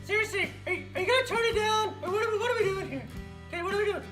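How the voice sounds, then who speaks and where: A woman voicing an excitable, bossy little girl puppet who rambles and repeats herself while hosting a playful kids' show. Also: very high voice, very fast. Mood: bossy, exasperated. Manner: rambling, repetitive, childlike. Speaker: children's puppet show host. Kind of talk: playful improvised storytelling for kids. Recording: roomy echo, faint music behind.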